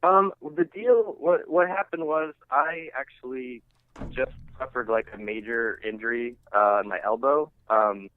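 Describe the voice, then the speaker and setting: A man being interviewed as a musician, chatting casually and haltingly, often saying "like" and "you know". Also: medium pitch, no echo. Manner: halting and conversational, heavy use of "like" and "you know". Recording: thin phone-line sound.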